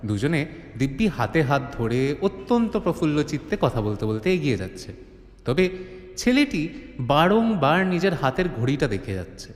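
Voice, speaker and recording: Animated male storyteller with long reverb. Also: fairly high pitch, normal speed, soft background music. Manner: theatrical, suspenseful. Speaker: narrator. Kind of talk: dramatic scripted storytelling, horror-comedy. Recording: reverberant, faint ambient noise.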